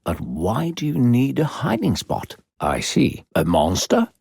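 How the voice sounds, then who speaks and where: A male voice actor playing a smooth-talking, secretly sinister video game narrator, theatrical, coaxing and condescending toward a child. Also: medium pitch, wildly swooping pitch, a little slow, darkish tone, no room sound, clean studio sound, exaggerated voice. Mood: feigned delight.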